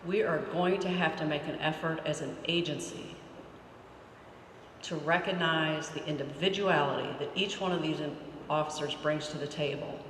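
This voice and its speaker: A police chief giving an earnest, emphatic graduation speech and asking rhetorical questions. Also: male voice, fairly high pitch, steady tone, moderate pace, a bit bright, slight background noise. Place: large indoor hall. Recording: echoey hall from far off.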